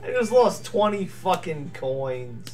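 A slightly high-voiced young man, drunk and worn out, grumbling through a party game. His pitch moves a lot as he complains and swears. Faint game music sits behind him. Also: big pitch swings, slow pace, recorded from a distance. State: drunk and tired. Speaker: gamer. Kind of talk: casual gameplay chat.

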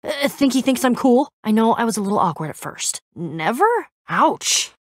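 Video game heroine: brash young adventurer, high voice, very animated delivery, slangy and eager. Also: female voice, normal speed, dry recording, studio quality. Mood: eager, awkward. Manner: casual, slangy, eager and awkwardly trying to sound cool. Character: brash young woman adventurer, excitable, sarcastic, starstruck fangirl. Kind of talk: animated game dialogue acting.

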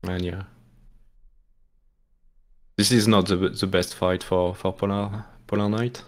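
Soft-spoken man with a French accent. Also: fairly low pitch, little pitch movement, slow. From France. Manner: hesitant, many restarts and fillers, apologetic. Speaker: speedrun tutorial commentator. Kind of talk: live game commentary explaining techniques.

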